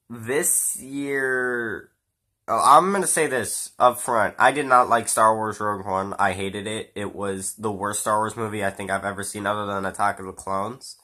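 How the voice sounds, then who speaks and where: A man's voice: fairly low pitch, sharp tone. A man with a stuffy nose from a cold, giving a rambling, casual spoken film review with fillers and the odd swear. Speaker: movie reviewer.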